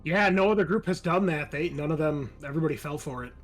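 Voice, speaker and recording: A man's voice: fairly high pitch, quick pace, soft background music. Man hosting a tabletop game, rambling casually. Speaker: game master and host.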